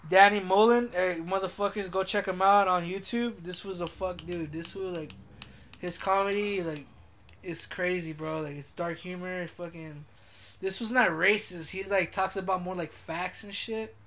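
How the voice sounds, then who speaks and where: A man's voice: high-pitched, fairly even intonation, normal speed, fairly bright tone. A young man co-hosting a casual podcast, laid-back and swearing constantly, often trailing off mid-thought. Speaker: podcast co-host. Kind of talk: casual profane chat.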